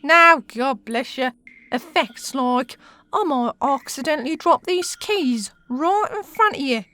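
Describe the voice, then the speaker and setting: A woman's voice: high-pitched, expressive, measured pace, pushed and bright, exaggerated voice. A woman with an English accent playing a brash, teasing witch and jailer on the make in a comic radio sitcom, full of slang and mouthy banter. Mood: sly, wheedling.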